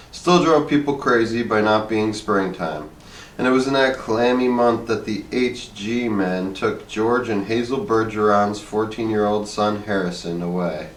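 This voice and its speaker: A man reading a short story aloud as an expressive narrator. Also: on the low side. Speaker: story reader. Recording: echoey room, distant mic, faint noise.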